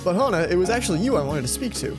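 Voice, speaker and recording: A let's play host voicing a vain, smooth, self-admiring high-school boy model in a visual novel. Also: very animated, rapid-fire, close to an everyday voice. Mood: smug, suave. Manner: smug, showy. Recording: music under the voice.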